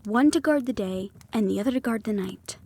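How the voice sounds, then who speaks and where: A woman's voice: medium pitch, a little fast, creaky, mellow. A woman voicing a defiant young female thief in an audio roleplay, teasing and sardonic, sometimes dropping to a whisper. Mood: bitter.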